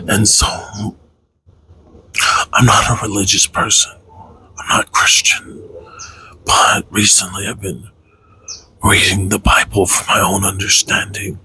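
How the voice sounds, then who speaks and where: A man with a deep, forceful-edged voice that is strongly husky and breathy. He speaks in a halting, reflective way, as in a confessional vlog. His sentences come slowly and hesitantly. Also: a little hiss or hum, low-quality recording. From United States, raised Tongan American. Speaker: content creator, father.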